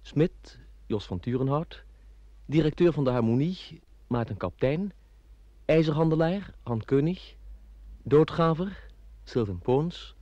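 A man's voice: a little high, lively intonation, unhurried, long pauses, dark tone, no room sound. A man working as a radio announcer, formally reading scripted credits in an old broadcast style. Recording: dull old radio recording.

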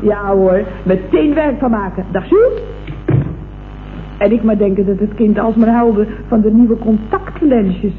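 A woman's voice: mid-range voice, a little slow, natural voice. An actress playing a chatty, tactlessly blunt female office employee in a vintage Dutch radio comedy. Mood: chatty, gushing. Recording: muffled, noisy vintage radio recording.

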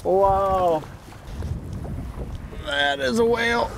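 A man's voice: very high-pitched, animated, drawn-out words, vocal fry, background noise. A man in his thirties chatting casually while fishing from a small boat outdoors, laughing easily, on a lapel mic. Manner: easygoing, laughs often while reeling. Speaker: fishing guide and guest.

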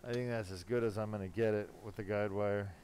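A man working as a spine surgeon, giving casual, self-assured live commentary while he operates, pausing now and then mid-task. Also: fairly low pitch, fairly even intonation, darkish tone. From born in Germany, later lived in California. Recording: clearly noisy operating room sound. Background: room noise and ambience.

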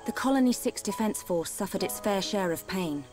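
An actress playing a steadfast, caring young woman soldier with a British accent, speaking in an earnest, measured way in a video game. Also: mid-range voice, animated, close to an everyday voice. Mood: sombre, resolute. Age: young adult. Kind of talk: video game cutscene acting. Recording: game music behind the voice. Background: game soundtrack music.

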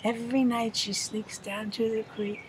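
An older woman telling a children's story in an expressive, deliberate, dramatic way. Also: a little high. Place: shady outdoor bench. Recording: faint outdoor background noise.

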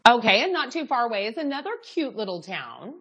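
A woman's voice: a little high, big pitch swings, brisk, a bit bright, slightly rough. A woman hosting a TV show, bubbly and enthusiastic as she interviews a guest in the studio. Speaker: TV show host. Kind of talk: TV host interview.

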